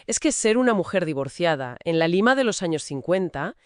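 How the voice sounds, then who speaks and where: A woman's voice: lowish voice, big pitch swings, a little fast, no room sound, studio quality. A woman with a Castilian Spanish accent co-hosting a conversational discussion about literature. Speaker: co-host of a book discussion.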